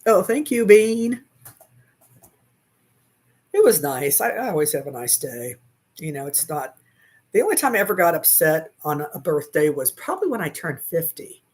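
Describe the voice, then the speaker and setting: A woman's voice: low voice, sing-song, normal speed, long pauses, a little mellow, husky. A talkative woman in her sixties chats easily through a webcam in a small room at home. She laughs often and answers her viewers' comments while she crochets. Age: 60s. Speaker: crochet livestream host. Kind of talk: casual chat while crocheting. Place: small bedroom at home, indoors. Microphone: webcam at desk.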